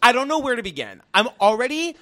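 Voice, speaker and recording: A man's voice: very high voice, big pitch swings, quick pace, bright, forceful tone. A man hosting an interview, gushing and playful with his guest. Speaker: interviewer, host. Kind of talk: casual interview chat.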